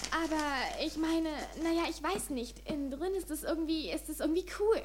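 Eine Schauspielerin spricht in einem deutschen Hörspiel eine zögerliche, trockene Schülerin im Teenageralter. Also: female voice, sits high, normal speed, noisy background, close to an everyday voice. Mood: awkward. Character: teenage schoolgirl, hesitant but wry. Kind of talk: German audio drama acting.

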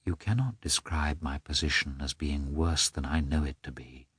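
A man reading an audiobook, voicing a despairing young wife who speaks softly and in whispers. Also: low voice, normal speed, no echo, clean studio sound. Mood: hushed. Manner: soft, whispered, hushed. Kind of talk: audiobook character voice.

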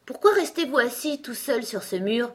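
A woman voicing a polite, gentle seven-year-old girl in a storybook, with an earnest, courteous manner. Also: on the high side, animated, moderate pace, voice pushed up. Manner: polite, soft-spoken, earnest. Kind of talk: storybook character acting.

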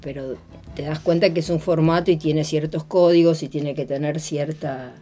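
An Argentine woman speaks in a relaxed, low, mellow voice. She reflects casually, as in an interview, feeling for her words while music plays behind her. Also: normal speed. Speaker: former radio drama performer, interviewee.

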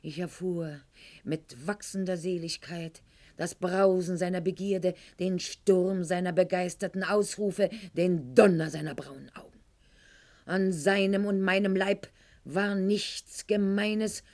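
Slow, haughty aristocratic lady. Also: on the low side, darkish tone. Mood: rapturous. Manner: articulate, haughty, ironic, literary phrasing. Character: proud, witty, wounded aristocratic lady recounting her love affair. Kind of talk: theatrical radio drama monologue.

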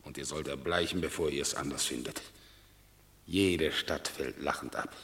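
An actor playing a grim Roman general who brings bad news, declaiming bitterly in a classical verse radio drama. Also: male voice, very deep voice, moderate pace, fairly bright tone.

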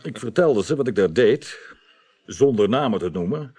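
An actor playing a dutiful, deferential detective giving a meticulous report in a 1980s Dutch radio drama. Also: male voice, on the low side, lively intonation, normal speed, mellow, slightly rough. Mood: earnest, slightly amused.

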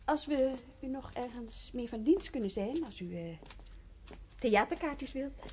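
An actress in a naturalistic radio play, playing a polite museum official who speaks formally and asks attentive questions. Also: female voice, a little high, animated, unhurried, mellow, slight background noise. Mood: courteous, attentive. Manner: courteous, formal, politely inquisitive. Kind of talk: naturalistic radio drama acting.

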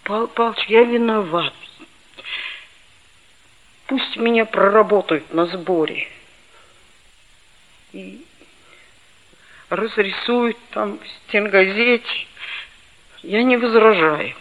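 An actor playing a gloomy, self-pitying schoolboy of about thirteen, narrating earnestly in a 1960s Soviet radio play. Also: male voice, high voice, slow pace, long pauses. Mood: sullen, guilty. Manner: earnest, dramatic, reflective. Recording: dull old radio-play tape with loud music.

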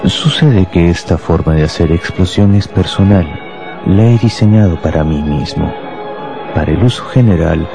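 An actor playing a proud, menacing professor of explosives in a radio drama, speaking deliberately and grandly with deadly calm. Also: male voice, low-pitched, gravelly. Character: cold fanatical bomb-making professor, proud and deadly calm. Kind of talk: Spanish-language radio drama acting.

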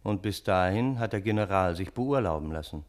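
Low, wry gentleman narrator. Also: expressive, a little fast. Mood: wry, measured. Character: wry, long-suffering gentleman narrator, courteous and ironic. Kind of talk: scripted radio play narration. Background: balalaika music.

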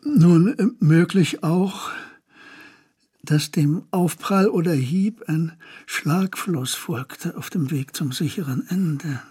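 An actor playing a detached, learned physician in a German period radio drama, muttering pedantically in a very husky, breathy voice. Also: male voice, a little high, slow pace, warm tone, exaggerated voice. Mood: absorbed, clinical.

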